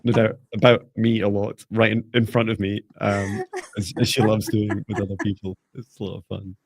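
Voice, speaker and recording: A man's voice: fairly low pitch, level tone, moderate pace, vocal fry, background noise. A middle-aged man hosting a live stream in casual, jokey, chatty conversation.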